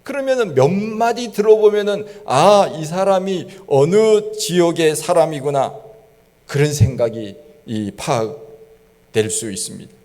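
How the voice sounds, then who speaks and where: A man's voice: on the high side, a little mellow. A middle-aged male pastor from Gangwon Province preaching a sermon in a folksy storytelling way, imitating regional dialect phrases. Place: church sanctuary. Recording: long church hall reverb.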